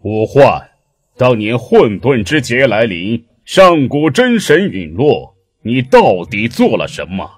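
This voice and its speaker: A man acting a brooding male deity in a Chinese audio drama, with a husky voice. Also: mid-range voice, huge pitch swings, slow, heavily acted. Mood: grave, pained.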